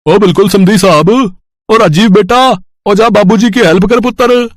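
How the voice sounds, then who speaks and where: A hearty older Punjabi father-in-law, put on by a woman. He is loud and welcoming, with a Punjabi accent and a dry sound suited to a family tale. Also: mid-range voice, normal speed, no room sound, lightly acted.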